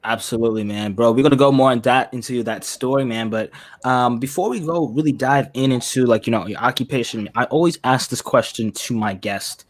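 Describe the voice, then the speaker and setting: A man's voice: medium pitch, fairly quick, few pauses. A man hosting an interview show in casual, colloquial chat. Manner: colloquial, says "man" and "bro" often.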